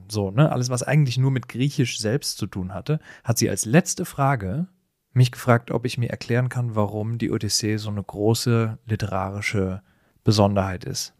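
A man's voice: fairly low pitch, darkish tone, dry recording, studio quality. A man co-hosting a podcast, telling stories in a casual, reflective and explanatory way with colloquial asides. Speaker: podcast co-host. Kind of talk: casual conversational podcast storytelling.

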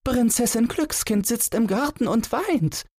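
A man's voice: very high-pitched, lively intonation, measured pace, a little mellow, slightly rough, pitched above the performer's own voice, full character voice. A man voicing a princess's frightened young playmate in a fairy tale, frantic and pleading. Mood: panicked.

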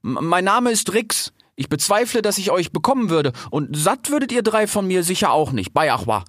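A nervous, clever grey fox, played by a man, pitched high and stammering over his words. He is courteous and pleading, an animal hero from a storybook. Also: animated, fairly quick, no echo, studio quality. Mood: nervously firm. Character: timid, clever, polite young grey fox, male.

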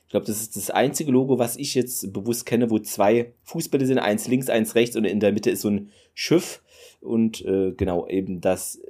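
A man's voice: lowish voice, moderate pace, dark tone. A man hosting a solo football podcast, talking in a loose, rambling way with many fillers and enthusiastic asides. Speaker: podcast host.

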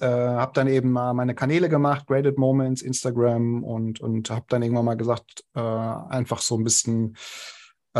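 A man's voice: mid-range voice, a little mellow. A young adult man, a podcast guest, speaking casually with many hesitations and restarts. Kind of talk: casual interview talk.

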